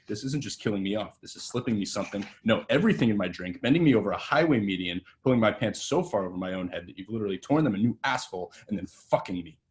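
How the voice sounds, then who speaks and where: A volatile, washed-up young TV star, voiced by a man at a table read swears and rants with bitter contempt. His voice sits low, and his tirades run fast and loose. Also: a little mellow, natural voice. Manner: profane, ranting, bitter. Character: washed-up hotshot young male TV actor, volatile.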